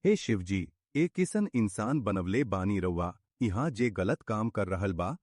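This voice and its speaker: A man's voice: lowish voice, animated, measured pace, dry recording, studio quality. A man playing a poor, honest, devout street food vendor in a cartoon moral story, speaking earnestly with a Bhojpuri accent. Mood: pleading prayer. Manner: earnest, pleading, principled. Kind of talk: animated moral story dialogue. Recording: loud music bed behind voice.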